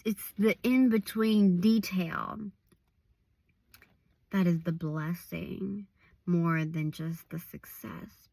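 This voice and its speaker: A woman evangelist with a raspy voice, giving a casual devotional talk outdoors, full of "like"s and restarts. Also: on the low side, darkish tone, dry recording. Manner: loose and conversational, many "like"s and restarts. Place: outdoors at a park overlook.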